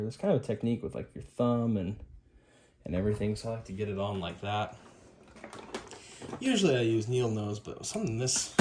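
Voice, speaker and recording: A man's voice: on the low side, darkish tone, slight background noise. A man giving a casual hands-on repair demonstration, informal and upbeat, talking half to himself as he works. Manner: informal, muttering to himself while working. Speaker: host of a hi-fi repair channel.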